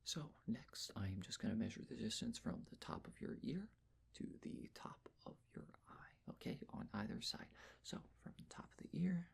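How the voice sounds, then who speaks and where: Casting: ASMR performer, very breathy whisper with a lilting delivery, gentle and reassuring personal-attention roleplay. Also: medium pitch, big pitch swings, normal speed. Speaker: ASMR performer playing a measurer taking face and body measurements.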